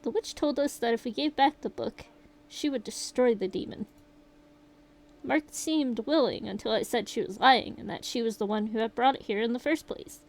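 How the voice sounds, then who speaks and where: A woman voicing a shaken young survivor, reading the account aloud in a steady, rueful way. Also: a little high, moderate pace, close to an everyday voice. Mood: grim retelling. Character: shaken young survivor recounting a horror night.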